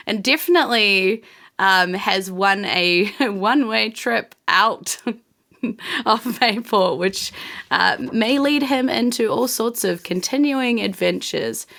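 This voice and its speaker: A woman with a New Zealand accent improvising narration as game master of a tabletop game, informal and halting. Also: mid-range voice, vocal fry, a bit bright.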